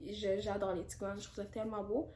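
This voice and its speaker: A woman's voice: mid-range voice, little pitch movement, a little fast, a little mellow, echoey room. A woman in her twenties from Canada with a Quebec French accent, chatting candidly through a casual Q&A vlog with lots of fillers and asides. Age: 20s. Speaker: YouTuber.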